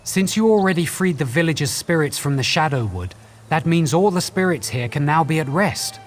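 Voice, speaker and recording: An actor playing an earnest, thoughtful companion on a fantasy quest in a video game, speaking in a measured way. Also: male voice, a little high, animated, lightly acted. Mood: earnest, counselling. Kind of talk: video game character dialogue. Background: music.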